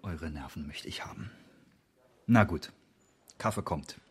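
A man's voice: extremely low pitch, a little slow, slightly rough, full character voice. A man playing an exasperated, sardonic police colleague in a radio crime drama, scolding with heavy sarcasm. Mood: sarcastic. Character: exasperated male police colleague, sardonic, grumbling but loyal.

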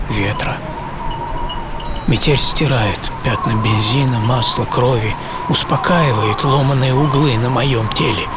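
Wounded wartime airman turned artist, brooding, terse theatrical delivery, radio play, prominent music underneath. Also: medium pitch. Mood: weary, fading. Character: wounded German pilot turned avant-garde artist, brooding, reflective. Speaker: storyteller. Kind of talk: dramatized first-person radio monologue.

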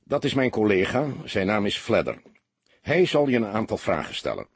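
A man voicing a senior police detective in an audiobook, measured and friendly, firm and reassuring. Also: lowish voice, sing-song, husky, dry recording, full character voice. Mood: matter-of-fact.

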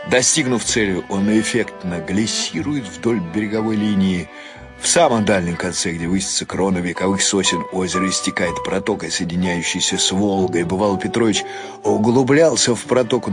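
A man with a low voice reads a short story as a radio narrator. He takes his time, pausing often and shifting emphasis as he tells it. Faint music plays behind him. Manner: unhurried, with many pauses and shifting emphasis. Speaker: narrator reading prose for a radio audio play. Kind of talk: expressive literary narration, storytelling.

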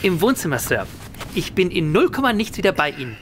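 An actor playing a deferential household servant who is eager to please, in a radio play. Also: male voice, high voice, very animated, fairly quick. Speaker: manservant. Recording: noisy radio play recording.

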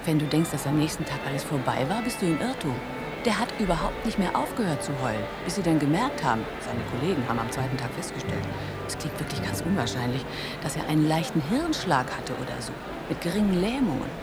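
An actress in a radio drama playing a wry woman who confides a friend's marital troubles in conversational, animated storytelling. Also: low voice, quick pace, loud music behind the voice. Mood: dramatic, confiding.

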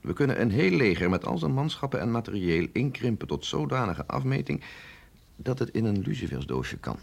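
Radio play scientist, middle-aged sceptic with a husky voice, lively pitch swings, measured and insistent. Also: male voice, full character voice. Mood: authoritative, explaining. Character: sceptical, authoritative male scientist briefing an agent, no longer young. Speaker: scientist doctor. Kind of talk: radio drama acting.

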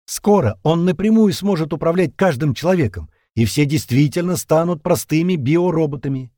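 A man's voice: medium pitch, big pitch swings, normal speed, warm tone, no room sound, studio quality, voice pushed up. A man voicing a weary, commanding captain in an audiobook, speaking with earnest, emphatic insistence. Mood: heated. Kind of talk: audiobook character dialogue.

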